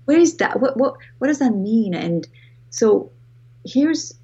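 A woman with a Greek accent talks in a low, husky and mellow voice. She is a guest author in an interview, reflective and repeating words as she finds her thought. The sound is a little dull. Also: animated, slow pace. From Greece. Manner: reflective, restarts phrases often. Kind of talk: podcast interview.

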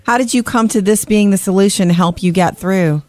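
A woman's voice: medium pitch, a little fast, darkish tone, no echo. A woman hosting a radio show, chatting enthusiastically with callers and reading entertainment news.

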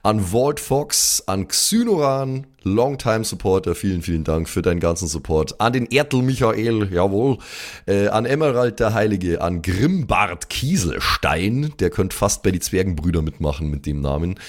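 Low-voiced man hosting casually. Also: animated, moderate pace. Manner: chatty, playful asides. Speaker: podcast host. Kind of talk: casual spoken outro with thank-you roll call of supporters.

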